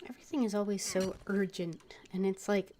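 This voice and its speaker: A woman streams a video game in a mid-pitched voice, casually thinking aloud as she plays. Background noise and keyboard clatter come through her microphone. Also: fairly even intonation, brisk, mellow. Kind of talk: casual solo gameplay commentary.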